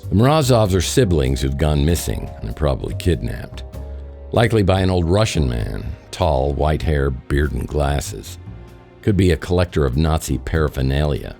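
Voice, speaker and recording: An actor playing a wisecracking, self-deprecating private detective in a noir radio drama, with a deadpan delivery. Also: male voice, extremely low pitch, normal speed, creaky, soft background music, full character voice. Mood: casual, angling.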